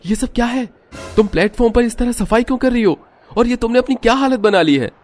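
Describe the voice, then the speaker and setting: A man's voice: very high voice, quick pace, darkish tone, soft background music, pitched above the performer's own voice. A man voicing a shocked, protective, emotional young husband in a cartoon. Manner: urgent, emotional.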